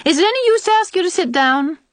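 A woman voicing a sour, sarcastic old country aunt with a sharp tongue, in an audiobook. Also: fairly high pitch, very animated, normal speed, no room sound, voice pushed up. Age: older. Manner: disagreeable, sarcastic, nagging. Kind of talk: audiobook character voice.

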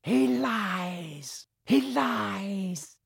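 A cold, evil dark wizard for a storybook. The voice sits high above a natural register, hissing and sometimes whispery. Every word is drawn out slowly with menace. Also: animated, creaky, breathy edge, no room sound, studio quality, exaggerated voice. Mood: cold, commanding. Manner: slow, drawn-out, menacing delivery.

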